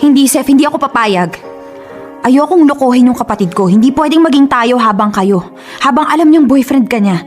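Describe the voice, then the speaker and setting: A woman's voice: high voice, expressive, fairly quick, faint music behind. A woman acting in a Filipino radio drama as a stubborn, scolding young woman who is fiercely loyal to her sister. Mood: pleading. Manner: emphatic, scolding.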